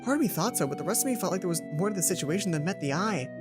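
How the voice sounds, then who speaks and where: A woman's voice: on the low side, lively intonation, very fast, natural voice. A woman voicing a lovesick, self-doubting schoolgirl heroine in a game, telling her story in a dramatic, introspective way over music. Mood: wistful, troubled. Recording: music behind the voice.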